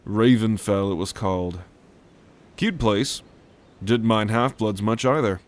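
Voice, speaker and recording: A man's voice: lowish voice, natural voice. A man playing a world-weary, blunt dragon brother in a roleplay audio drama, telling things in a wry way. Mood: grave, reflective. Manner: wry, unhurried storytelling with cursing.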